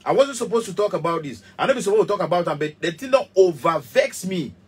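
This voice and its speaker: A man's voice: high voice, animated, fast, husky. A man in his thirties with a Cameroonian Pidgin English accent, a musician speaking passionately and emphatically to camera from a small studio. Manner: passionate, emphatic, repetitive, gesturing. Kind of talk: heated direct-to-camera rant.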